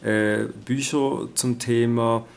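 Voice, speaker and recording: A man interviewing a guest, asking probing questions in a measured way. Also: mid-range voice, fairly even intonation, creaky.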